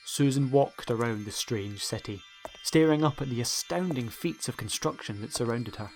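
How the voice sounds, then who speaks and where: A man's voice: mid-range voice, moderate pace, a little mellow, low-quality recording. Male audiobook narrator over music. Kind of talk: scripted dramatic storytelling. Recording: music behind the voice.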